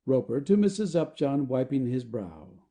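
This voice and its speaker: A man's voice: mid-range voice, sing-song, moderate pace, dark tone. A man reading stage directions as the narrator of a scripted play.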